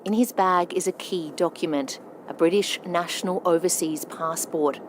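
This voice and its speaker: A woman presenting a radio documentary, narrating a script in a measured, even way over a studio microphone. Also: fairly low pitch, background noise. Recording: music bed underneath.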